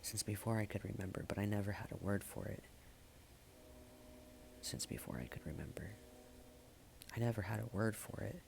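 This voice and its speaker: A man performing spoken-word slam poetry in a halting manner, with dramatic pauses, repeated phrases and hushed endings. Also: lowish voice, vocal fry, a little hiss or hum. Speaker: slam poet.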